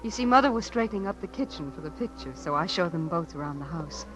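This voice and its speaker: An actress playing an earnest, devoted young small-town niece in a 1950s radio drama. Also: female voice, lowish voice, expressive, quick pace, a bit bright, low-quality recording. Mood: polite, flustered. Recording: hissy, humming 1950s radio recording.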